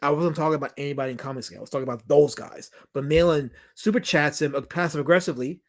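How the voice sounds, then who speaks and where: A man's voice: a little high, fast talker. A man with a New York accent hosting a livestream Q&A, rambling and emphatic as he answers viewers' questions. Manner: rambling and emphatic, with many restarts and repetitions. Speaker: documentary director hosting a livestream Q&A. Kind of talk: casual livestream chat, reading viewer comments.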